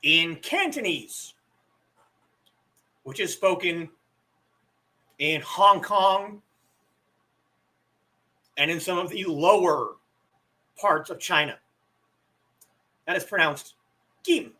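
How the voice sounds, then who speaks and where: A man with a bright, forward tone and a fairly high voice, talking slowly and unhurriedly. He hosts a relaxed live stream, explaining things and answering viewers. He still has a lingering cough from an illness. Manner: relaxed, chatty, answers chat questions. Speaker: live stream host teaching language tones.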